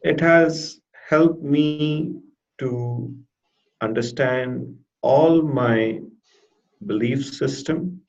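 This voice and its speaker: Slow, halting man with a stutter. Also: mid-range voice. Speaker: client giving a testimonial about his therapy. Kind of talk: personal testimonial in an interview. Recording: dull lo-fi audio.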